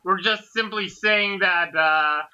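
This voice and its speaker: Sharp, high-voiced man, lo-fi recording. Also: takes it slowly, clear, resonant tone. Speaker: co-host commentator. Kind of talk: casual opinionated commentary. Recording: dull, lo-fi voice recording.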